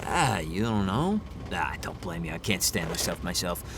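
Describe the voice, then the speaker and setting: Deep, chatty cabbie over car noise. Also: male voice, very animated, moderate pace. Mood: rueful, folksy. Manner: rambling, hesitant, colloquial. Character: chatty, folksy male cab driver, a harried dad. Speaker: actor in an audio drama. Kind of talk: naturalistic, casual dramatic chat. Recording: street and car noise behind.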